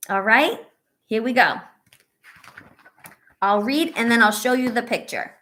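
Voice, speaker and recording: A woman reading a picture book aloud to young children as a teacher, pausing to ask questions and offer encouragement and reassurance. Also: high-pitched, a bit bright. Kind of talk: read-aloud story with asides to young children.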